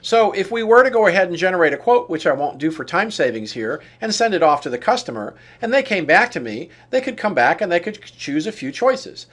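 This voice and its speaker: Higher-pitched man giving a software walkthrough. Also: sing-song, fairly quick, few pauses. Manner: explanatory, step-by-step. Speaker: software demonstrator. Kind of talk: walkthrough tutorial narration of on-screen steps.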